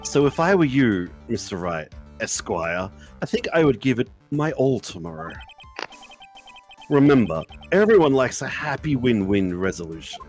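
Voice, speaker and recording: A man's voice: medium pitch, sing-song, moderate pace, darkish tone, soft background music, natural voice. A man voicing a swooping, smug villainous celebrity. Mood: mocking, menacing. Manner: theatrical, condescending, mock-sweet drawl. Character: smug, manipulative celebrity killer taunting his lawyer. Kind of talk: live fan dub of a visual novel game.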